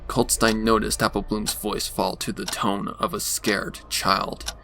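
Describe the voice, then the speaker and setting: A man's voice: fairly low pitch, little pitch movement, unhurried, vocal fry. A man narrating a scripted dramatic story on a noisy home recording.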